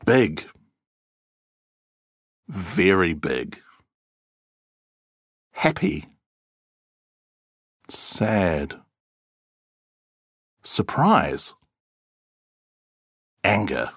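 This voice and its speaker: Lively low-voiced New man reading. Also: no echo, studio quality. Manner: clear, measured and expressive, acting out example words. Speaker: reader of the interviewee's answers. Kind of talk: scripted read-aloud.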